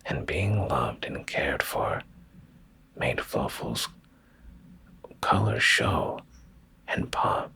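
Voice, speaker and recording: Very deep, gravelly man murmuring ASMR. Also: animated. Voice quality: very deep, gravelly, breathy near-whisper. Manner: soft, hushed, soothing, drawn-out delivery. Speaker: ASMR streamer and bedtime story reader. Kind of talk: ASMR, slow soft bedtime story reading. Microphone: close ASMR microphone.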